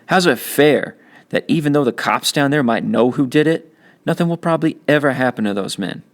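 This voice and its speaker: A man's voice: medium pitch, expressive, a little fast, voice pushed up, lightly acted. A man voicing a cocky, streetwise teenage older brother with blunt, slangy talk in a novel reading. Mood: earnest.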